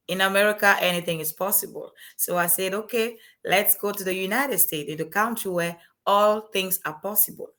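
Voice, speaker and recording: Bright-voiced woman with a Cameroonian French accent. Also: fairly low pitch. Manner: earnest, motivational. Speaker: guest, inspirational speaker. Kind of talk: interview chat.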